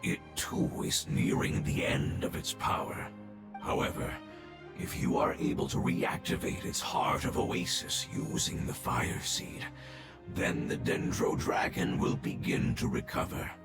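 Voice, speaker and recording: An actor playing an ancient, dying guardian creature in a video game, weary and reverent, speaking haltingly in a breathy rasp. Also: male voice, natural voice. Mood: grave, hopeful. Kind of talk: scripted video game voice acting. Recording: reverberant voice under loud music.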